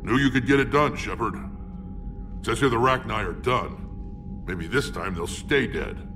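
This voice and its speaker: An actor playing a gruff, gravelly male alien warrior and hardened veteran who speaks bluntly. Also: medium pitch, measured pace, natural voice. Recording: game audio with music bed.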